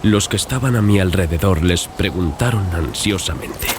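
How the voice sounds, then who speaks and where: A man's voice: sits low, moderate pace. A man narrating a dramatized horror audiobook in a scripted, dramatic storytelling style. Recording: clear background ambience and noise. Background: sound-design ambience.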